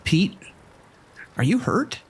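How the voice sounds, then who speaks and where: An actor playing a worried, protective casino manager, speaking urgently in a horror audio drama phone call. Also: male voice, slow, a little hiss or hum, close to an everyday voice. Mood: concerned. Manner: urgent, concerned.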